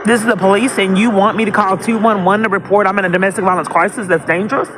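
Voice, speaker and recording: A woman's voice: mid-range voice, fairly even intonation, fast talker, noisy background. A woman calling police for help, speaking insistently and repetitively and tending to talk over the other person. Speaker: caller to police dispatch. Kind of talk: phone call pleading for help.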